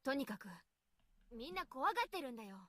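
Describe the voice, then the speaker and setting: A woman's voice: sits high, animated, racing, fairly bright tone, dry recording. An actress voicing a brash teenage tomboy girl in a game, talking hesitantly and stammering. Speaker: game character.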